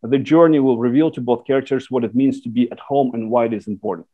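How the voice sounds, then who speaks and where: A man from Russia whose first language is Russian pitches his screenplay in English over a video call, hesitant and full of fillers and restarts. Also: fast, phone-quality sound.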